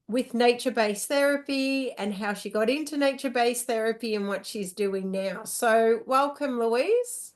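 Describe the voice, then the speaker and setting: This woman hosts the podcast with a fairly high voice. She welcomes her guest and asks open questions in a friendly, upbeat way, with an "um" now and then. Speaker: podcast host. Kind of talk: podcast interview.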